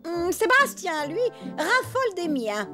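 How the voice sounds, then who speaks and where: A woman's voice: very high-pitched, big pitch swings, unhurried, over music, full character voice. A woman voicing a smug, condescending female elephant mother in a French cartoon dub, with a pompous manner.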